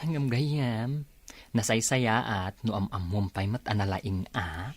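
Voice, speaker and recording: A woman's voice: extremely low pitch, fairly even intonation, slow, darkish tone, no room sound, exaggerated voice. A woman playing a frank, concerned confidante with a questioning, chiding manner in a radio drama. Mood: teasing, skeptical.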